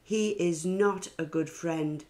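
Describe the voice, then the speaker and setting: A woman's voice: fairly low pitch, takes it slowly, a little mellow. A woman voicing a stubborn, guarded little schoolgirl from a storybook, in a firm, emphatic manner. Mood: grumpy.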